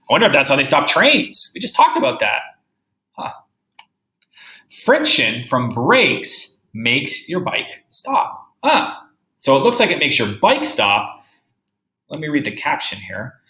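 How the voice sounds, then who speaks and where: A male grade-school teacher reads to children in a bright, highly expressive voice. He reads with deliberate emphasis, then muses aloud and puts questions straight to his young listeners. The sound is roomy and echoey. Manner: musing aloud, addressing children directly with questions. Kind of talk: read-aloud lesson with think-aloud commentary.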